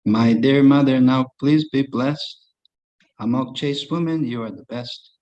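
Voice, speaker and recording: A man voicing Lord Vishnu, a divine male god, speaking slow, measured rhyming verse to comfort his mother. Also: mid-range voice, warm tone, highs cut off, natural voice. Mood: solemn, tender.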